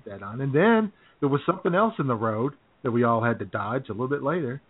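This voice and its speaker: A man's voice: mid-range voice, lively intonation, fast talker, slightly rough, no echo. An American man co-hosting a talk show in casual, jokey banter, often chuckling as he goes. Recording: tinny, phone-like band-limited sound.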